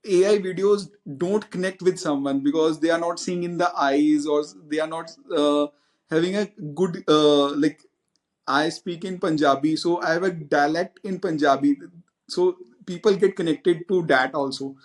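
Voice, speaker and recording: A man's voice: on the high side, normal speed. Hesitant man on a panel. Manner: hesitant, many fillers. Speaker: guest panelist. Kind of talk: panel discussion.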